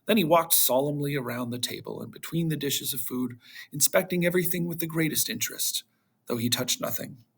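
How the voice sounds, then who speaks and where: A man reading a children's storybook aloud to schoolchildren in an animated narrating style. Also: a little high, a little fast, gravelly, breathy edge.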